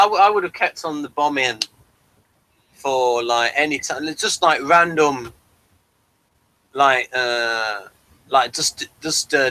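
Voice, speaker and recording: A man's voice: mid-range voice, bright, forceful tone, low-quality recording. A man in casual, opinionated discussion, blunt and emphatic, often repeating and restarting his phrases. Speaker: podcast reviewer.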